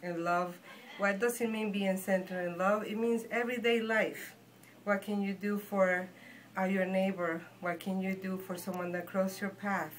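A woman from Guatemala with a Guatemalan Spanish accent, giving an informal spiritual talk in an earnest, reassuring, halting manner. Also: medium pitch, steady tone, a little hiss or hum. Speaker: shaman and healer, guest. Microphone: phone handed over.

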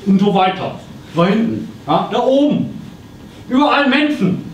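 An actor playing a fussy, pedantic tax inspector in a live comedy play, officious and prone to stammering. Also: male voice, sits high, very animated, measured pace. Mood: pompous. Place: small theatre stage. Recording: roomy stage recording from far off, noisy.

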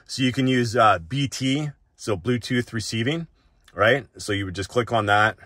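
A man's voice: medium pitch, moderate pace, bright, forceful tone, dry recording, clean studio sound. A man hosting a gadget walk-through in casual, unscripted tutorial talk, conversational and often checking in with short tag questions. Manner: conversational, often tags sentences with "right?".

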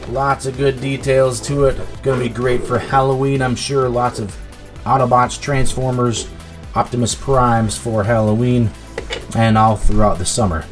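A man's voice: mid-range voice, steady tone, normal speed, faint music behind. A man hosting a toy review, demonstrating the product in an easygoing, chatty way. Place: indoor tabletop toy video set.

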